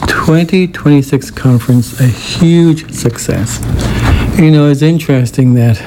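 A man's voice: on the high side, a little slow. Brief: male host who stutters, talks openly and reflectively, audible background noise. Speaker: radio show host. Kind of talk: casual radio talk and poem reading.

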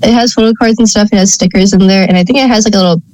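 A woman from Thailand co-hosting a casual podcast over a remote call, chatty and playful, prone to quick side tangents. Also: mid-range voice, steady tone, darkish tone, slight background noise. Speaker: podcast co-host. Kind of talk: casual chat.

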